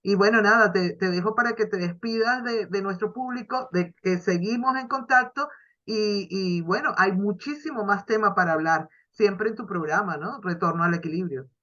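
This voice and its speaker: A woman's voice: mid-range voice, moderate pace, bright, forceful tone. A woman hosting a radio interview program, effusive and friendly, often restarting her words. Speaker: radio host. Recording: dull lo-fi sound.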